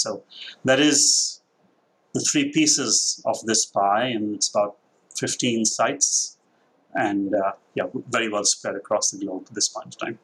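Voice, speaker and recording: Indian-accented man, husky low voice. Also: fairly bright tone, poor audio. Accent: Indian, with a mix of international influences. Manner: measured, thoughtful, with frequent uh fillers and restarts. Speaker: company division president. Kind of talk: corporate podcast interview.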